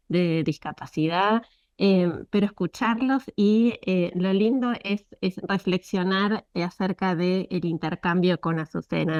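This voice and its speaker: Lower-voiced woman hosting a lecture. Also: dry recording, highs cut off. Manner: warm, halting introduction with fillers. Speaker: lecture host. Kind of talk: introducing a guest.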